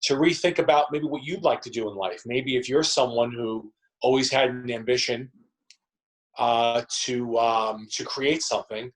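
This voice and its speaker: Male actor being interviewed, middle pitch, conversational, circles back over his phrases. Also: normal speed, rough recording. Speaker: actor and theatre company member, interview guest.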